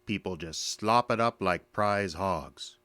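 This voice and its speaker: A belligerent, embittered male ex-soldier in an audio drama. His voice is deep and closely recorded with no room sound. He talks gruffly and colloquially, with a suspicious, sarcastic edge. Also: slow pace, bright, forceful tone, no echo, full character voice. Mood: bitter.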